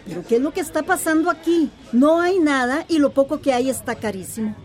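A woman's voice: high-pitched, fairly quick, lightly acted. A woman playing an exasperated shopper in a radio soap, complaining indignantly.

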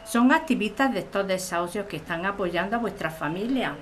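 A woman's voice: mid-range voice, animated, fairly quick, slight background noise, close to an everyday voice. A woman playing a practical supporter in a radio drama, reassuring and explaining things in a soothing manner.

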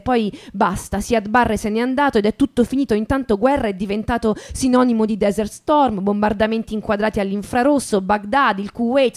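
A woman reading a literary novel for radio in a measured, expressive, dramatic style. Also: on the high side. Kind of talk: dramatic literary narration with music. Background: plucked guitar music.

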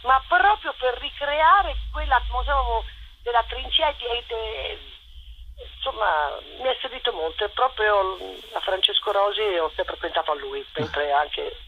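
An older woman giving a spontaneous radio interview as a theatre director, effusive and halting, often rephrasing as she goes. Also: mid-range voice, muffled recording, a little hiss or hum.

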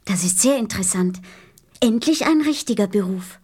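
A woman's voice: mid-range voice, animated, normal speed, mellow, husky. A woman playing a curious, innocent little boy prince in a radio play, earnest and questioning.

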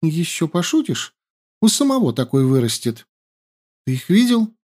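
A man's voice: fairly high pitch, sing-song, moderate pace, a little mellow, pitched above the performer's own voice. Man doing a whiny young woman spy. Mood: brisk. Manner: whiny pleading. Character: young woman herbalist spy, cunning, wailing.

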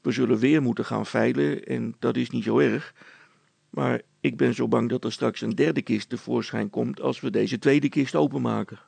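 A down-to-earth handyman from a storybook, voiced by a male reader, with a very creaky, husky voice. He is polite, practical and plainly reassuring. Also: mid-range voice, steady tone, moderate pace, a little mellow, no room sound. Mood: worried, practical. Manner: matter-of-fact, reassuring. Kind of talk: children's storybook character acting.

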